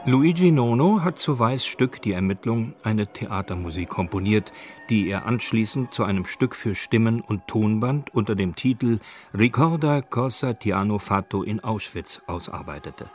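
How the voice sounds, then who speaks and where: A man's voice: lowish voice, animated, normal speed, few pauses, soft background music. A man reads scripted radio feature narration in a factual, precise way. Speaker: documentary narrator. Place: dry studio.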